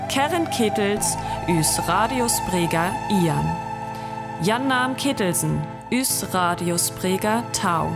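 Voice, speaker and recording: A woman with a North Frisian accent reading scripted radio play credits as an announcer. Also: mid-range voice. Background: loud music.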